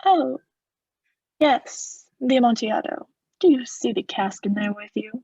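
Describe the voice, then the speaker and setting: A woman's voice: a little high, expressive, unhurried, mellow, husky, no room sound, dull audio, full character voice. A woman acting a cold, vengeful murderer in a radio play, speaking with formal, sardonic politeness as he mocks his victim. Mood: mocking, menacing.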